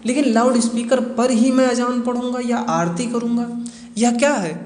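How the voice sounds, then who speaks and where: A man's voice: extremely high pitch, fairly even intonation, normal speed, a little mellow, soft background music. Echoey man explaining like a teacher. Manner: didactic, asks rhetorical questions and answers them. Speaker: educational explainer presenter. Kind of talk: explanatory lecture on current affairs. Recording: echoey reverberant room.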